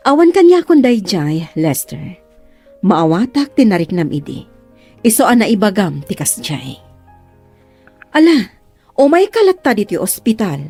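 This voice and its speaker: A woman's voice: mid-range voice, big pitch swings, a little slow, long pauses, mellow, husky, soft background music, full character voice. An actress with a northern Philippine accent playing a patient, understanding female doctor with a reassuring manner in a radio drama.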